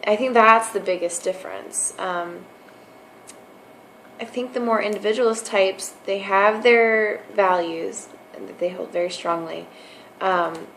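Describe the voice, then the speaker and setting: A young woman with a hoarse voice, talking through her thoughts to camera in a casual vlog, full of fillers and restarts. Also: mid-range voice, fairly bright tone, slight background noise, poor audio. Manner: musing, lots of fillers and restarts. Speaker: vlogger. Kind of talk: casual explanatory monologue.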